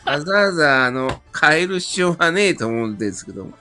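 Bright-voiced man chatting as a guest. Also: on the high side, sing-song, brisk, faint music behind. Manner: polite, folksy phrasing. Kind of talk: casual chat.

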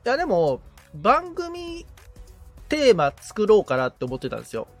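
A man's voice: sits high, huge pitch swings, moderate pace, no echo, soft background music. A man chatting casually and informally as a podcast assistant, thinking aloud as he goes. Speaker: podcast assistant, guitarist receiving advice. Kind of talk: casual chat.

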